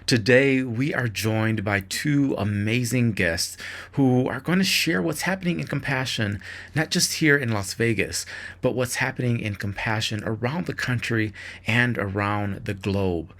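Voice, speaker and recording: A man's voice: mid-range voice, unhurried, a bit bright. A man hosting a podcast, delivering an upbeat, enthusiastic scripted opening. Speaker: podcast host.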